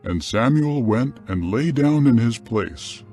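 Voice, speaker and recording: Slow, solemn male scripture narrator. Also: mid-range voice, animated, mellow, faint music behind. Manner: solemn, measured, clearly phrased.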